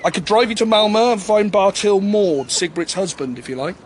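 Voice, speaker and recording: A chatty local cop in a radio play, lightish higher voice, matter of fact, bit of background noise. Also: male voice, expressive, brisk. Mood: relaxed, friendly. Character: chatty, candid small-town Swedish police chief. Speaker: actor in a radio crime drama. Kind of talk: naturalistic radio drama dialogue.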